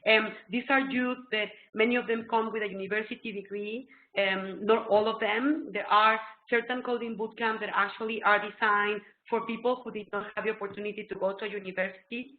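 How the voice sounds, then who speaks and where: A middle-aged woman with a Mexican Spanish accent presents a webinar from a small room, her Spanish roots clear in her English. She explains eagerly, with plenty of ums. The sound is thin and phone-like, with a roomy echo. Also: medium pitch, fairly quick. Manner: excitable, explanatory, full of ums. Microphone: laptop webcam video call. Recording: tinny phone-like echoey webcam call.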